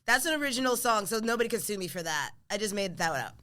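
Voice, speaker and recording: An American woman co-hosting a podcast, bubbly and playful, quick to laugh and exclaim. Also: medium pitch, expressive, pushed and bright. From United States. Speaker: podcast co-host. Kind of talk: casual podcast chat.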